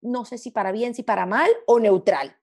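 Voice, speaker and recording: A woman's voice: a little high, normal speed, a little mellow. A woman from Venezuela with a hard-to-place Latin American accent, talking reflectively and expressively as an interview guest. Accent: Spanish of mixed Latin American sound, hard to place. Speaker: interview guest, gastronomy journalist.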